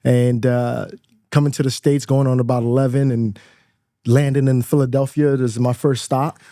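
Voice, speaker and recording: A man in his thirties from Nigeria talks as a guest in a studio. His voice is warm and mellow, recorded dry and close. He is reflective and laughs easily, repeating words as he gathers his thoughts. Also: mid-range voice, little pitch movement, normal speed, no room sound. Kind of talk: casual interview chat.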